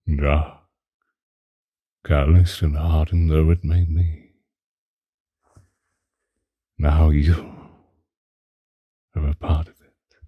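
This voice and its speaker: A man playing a possessive, doting ancient vampire lover in a breathy, intimate ASMR roleplay, close to the microphone. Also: no echo, dull audio, exaggerated voice. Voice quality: very deep, dark, husky, breathy, often whispering. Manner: slow, intimate, old-fashioned romantic wording. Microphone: close microphone, dry.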